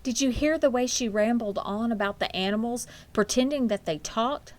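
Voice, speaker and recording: A woman voicing a worried, gently questioning farm mother in a children's storybook, with a concerned manner. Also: on the high side, normal speed, pitched above the performer's own voice, close to an everyday voice.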